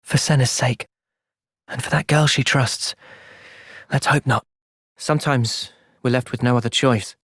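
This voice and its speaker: An actor playing an earnest, thoughtful young soldier in a video game, soft-spoken and sincere but resolute. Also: male voice, mid-range voice, steady tone, measured pace, long pauses, dry recording, clean studio sound, lightly acted. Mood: calm, thoughtful. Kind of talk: video game cutscene acting.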